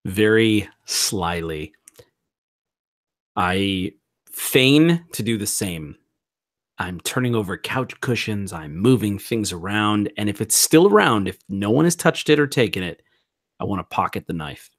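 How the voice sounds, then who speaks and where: A man with a fairly low voice narrates his character's moves as a player in a role-playing game. His phrasing is measured and deliberate, and the sound is close and dry, with no room echo. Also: lively intonation. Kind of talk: improvised first-person roleplay narration.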